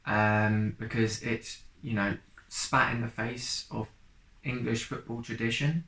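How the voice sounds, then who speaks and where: A man's voice: medium pitch, steady tone, slow pace, vocal fry, sharp tone. Reflective young man in a roomy space. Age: 20s. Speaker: interviewee, football fan. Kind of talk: documentary interview.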